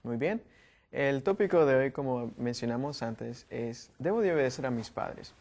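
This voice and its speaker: A man's voice: medium pitch, expressive, normal speed, darkish tone. A young man from Guatemala hosts a youth panel discussion, asking questions with frequent fillers and restarts. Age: young adult. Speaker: host.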